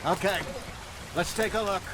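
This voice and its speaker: An actor playing a weary, wry older outlaw in a video game, with an American accent and a folksy, plainspoken manner. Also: male voice, high voice, steady tone, normal speed, very noisy, lightly acted. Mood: brisk, gruff. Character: weary, wry old male outlaw, worried elder. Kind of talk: naturalistic video game acting.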